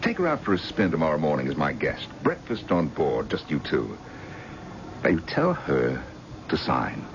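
Low voiced blustery husband, big swings in pitch, pushy and wheedling, old noisy radio play. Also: male voice, big pitch swings, normal speed, muffled recording, exaggerated voice. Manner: breezy, wheedling, interrupts. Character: pushy, selfish, blustering husband in money trouble. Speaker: radio drama actor. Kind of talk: 1970s radio drama acting.

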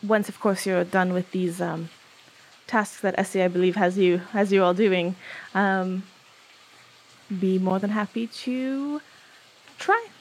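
A woman voicing a polite, studious young male scholar in a put-on British accent, with formal, measured speech. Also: mid-range voice, poor audio. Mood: courteous.

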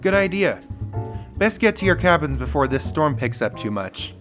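A man's voice: on the high side, very animated, moderate pace, music in the background. A man acting a hospitable lodge cook in a 1930s-style radio mystery. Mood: friendly. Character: hospitable male lodge cook named Harold.

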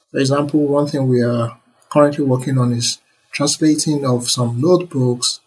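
A man's voice: medium pitch, slight background noise, low-quality recording. Enthusiastic man. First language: Yoruba. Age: young adult. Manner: earnest and enthusiastic, many fillers. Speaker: interview guest, computer scientist. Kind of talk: relaxed conversational interview answers.

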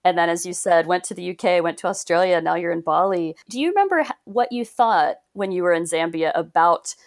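A woman's voice: lowish voice, lively intonation, brisk. A woman hosting a travel podcast, asking questions in an upbeat, enthusiastic way. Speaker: podcast host. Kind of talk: podcast interview. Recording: intro with soft guitar music.

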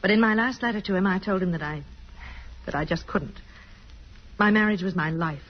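An actress playing a grieving, self-doubting wife in a mid-century radio drama, with a hesitant, wistful delivery. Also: female voice, medium pitch, a little fast, slight background noise, close to an everyday voice. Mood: subdued, regretful. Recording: dull, slightly husky old radio recording.

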